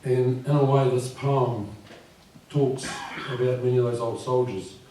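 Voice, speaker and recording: A man in his fifties with a croaky voice, reading his poems at a live event and speaking gently and unhurriedly. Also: mid-range voice, fairly even intonation, a little slow, a little hiss or hum. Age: 50s. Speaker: poet. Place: live venue with audience. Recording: echoey room from far off.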